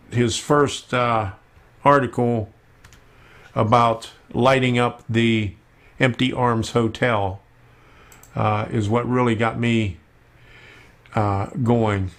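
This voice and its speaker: A man hosting a casual live stream about model railroading, talking slowly with many fillers as he works at his computer. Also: mid-range voice, a bit bright. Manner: slow, unhurried, with many fillers while working on screen.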